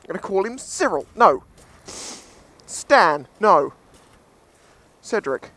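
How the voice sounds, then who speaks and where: A man's voice: high-pitched, sing-song, slow, bright, forceful tone. A British man giving casual, jokey, sweary commentary as he vlogs outdoors on a seashore. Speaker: beachcombing vlogger.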